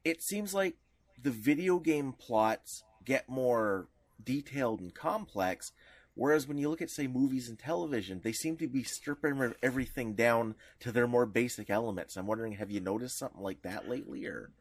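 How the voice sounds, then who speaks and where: A man's voice: mid-range voice, lively intonation, normal speed, no echo. A man co-hosting a podcast, putting a thoughtful, tentative question in casual conversation. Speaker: podcast co-host.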